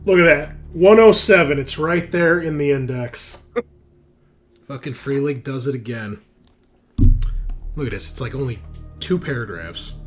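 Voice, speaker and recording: A man's voice: mid-range voice, measured pace, long pauses, soft background music. A man acting as game master, narrating casually and reading out rules with informal, dramatic emphasis. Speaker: game master of a tabletop roleplaying game.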